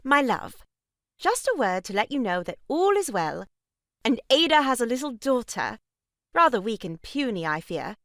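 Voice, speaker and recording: Self-important sister-in-law, sharp-tongued, brisk, lively high-ish pitch, dry studio sound, stage farce. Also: female voice, dry recording. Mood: brisk, bossy. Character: bossy, gushing married sister-in-law, sharp-tongued matron. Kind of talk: stage farce audio drama acting.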